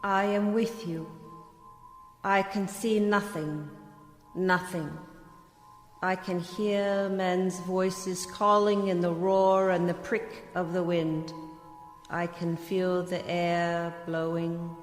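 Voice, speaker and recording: Lady playing spellbound young woman in horror radio drama, slow, drenched in reverb, faint music under. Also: on the low side, level tone. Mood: entranced, uneasy. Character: entranced, fearful young woman in gothic horror tale. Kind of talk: radio play acting.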